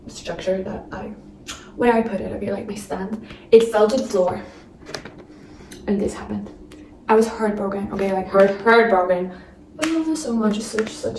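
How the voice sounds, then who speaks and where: A woman's voice: medium pitch, darkish tone, roomy sound, far from the mic, slight background noise, poor audio. A young woman vlogging, chatting and gushing, full of fillers, with a non-native accent. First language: not English. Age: young adult. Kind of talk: casual chat.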